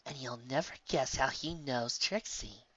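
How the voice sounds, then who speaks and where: A man's voice: mid-range voice, measured pace, sharp tone, dry recording, lo-fi sound. A man voicing a teasing, chatty female pony in a storybook reading, with a sly, gossipy, needling manner. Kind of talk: audiobook character acting.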